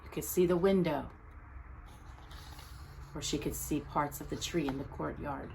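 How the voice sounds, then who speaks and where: A woman reading a children's picture book aloud as a storyteller, with expressive narration and deliberate, dramatic pauses between short phrases. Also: deep voice, creaky, slight background noise, rough recording.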